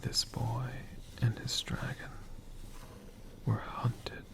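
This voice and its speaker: An ASMR storyteller whispering a tale in a hushed, lingering manner, pausing and repeating words. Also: mid-range voice, flat delivery, vocal fry, low-quality recording. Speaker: ASMR storyteller, channel host.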